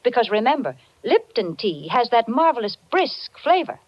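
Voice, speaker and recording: Animated high-voiced woman pitching on old radio. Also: normal speed, no echo. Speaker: commercial spokeswoman. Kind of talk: 1940s radio advertisement, scripted. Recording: muffled 1940s radio recording.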